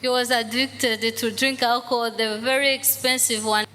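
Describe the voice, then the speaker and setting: A woman interpreting her husband's testimony into simple, halting English in a large church hall. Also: fairly high pitch, steady tone, sharp tone. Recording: echoey hall with faint noise.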